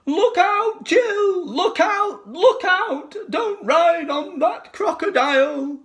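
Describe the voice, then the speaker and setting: Shrill, high sing-song bird. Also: male voice, lively intonation, slow pace, full character voice. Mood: urgent, alarmed. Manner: loud, high, sing-song warning calls. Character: frantic singing bird, protective of children.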